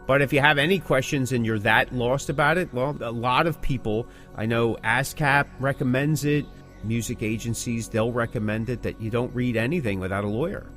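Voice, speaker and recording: A man's voice: mid-range voice, normal speed, a bit bright, faint music behind. A man co-hosting a podcast, talking casually but emphatically, giving advice and repeating key points for stress. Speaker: podcast co-host. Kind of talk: casual talk about the music business, with scripted sign-offs.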